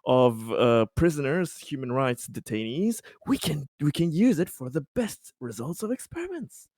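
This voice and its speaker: A man talks casually as a player in a tabletop roleplaying group. His voice sits at an average pitch, and he explains haltingly, doubling back on words. The sound is very dry. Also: very animated, a little mellow, dry recording. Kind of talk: casual post-game chat.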